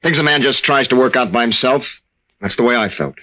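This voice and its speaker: Bright-voiced young American husband played by a man, old radio. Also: medium pitch, a little fast, close to an everyday voice. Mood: earnest, confiding. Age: young adult. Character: young newlywed war veteran, guarded, teasing, affectionate husband. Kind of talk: 1940s radio drama acting. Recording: muffled old-time radio recording.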